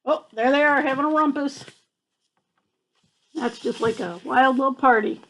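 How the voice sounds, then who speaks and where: A woman's voice: sits high, moderate pace, fairly bright tone, rough recording. An older woman reading a picture book aloud to children like a grandmother, adding chatty asides and checking in with her young listeners.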